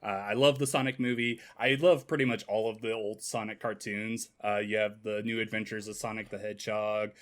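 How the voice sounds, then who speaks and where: A man's voice: lowish voice, flat delivery, a little fast, few pauses. A man chatting casually as a podcast panelist, talking like an enthusiastic fan. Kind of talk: casual chat about games and movies.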